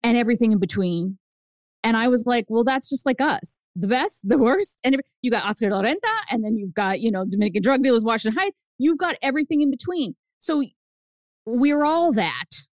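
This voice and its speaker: A woman in her fifties whose first language is Spanish, telling her family story as a guest author, animated and jumping up in pitch for emphasis. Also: brisk, dry recording. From United States. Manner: animated, swings up high for emphasis. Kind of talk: personal storytelling in an interview.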